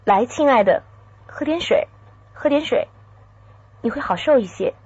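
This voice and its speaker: An actress playing a secretive, troubled but tender young woman in a radio drama, with a husky, breathy voice. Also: on the high side, lively intonation, normal speed, a little mellow. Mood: tender, conflicted. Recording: dry, slightly dull studio sound.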